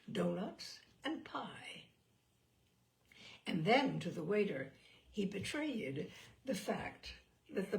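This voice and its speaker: Female storyteller for classic fiction. Slow, theatrical delivery with a gravelly edge. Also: fairly low pitch, a little hiss or hum. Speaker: story reader. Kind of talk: expressive audiobook narration of classic short fiction.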